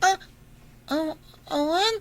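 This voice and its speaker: A woman's voice: very high-pitched, slow, full character voice. A woman voicing a shy, timid little boy who stammers and sounds close to tears. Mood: tearful. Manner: hesitant, stammering, near tears.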